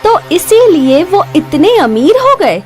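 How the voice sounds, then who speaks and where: A greedy village sister-in-law, put on by a woman storyteller, plots in a slow, sly voice. She is calculating, swinging her melody like a cartoon villainess. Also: very high-pitched, big pitch swings, a little mellow, soft background music, pitched above the performer's own voice, exaggerated voice. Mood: scheming.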